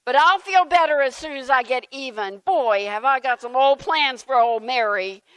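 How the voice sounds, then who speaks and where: Woman as flustered praying churchgoer: high, clear voice, defensive and exclamatory, comic church sketch. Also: normal speed, dry recording, low-quality recording. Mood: vengeful. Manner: animated, indignant, exclaiming.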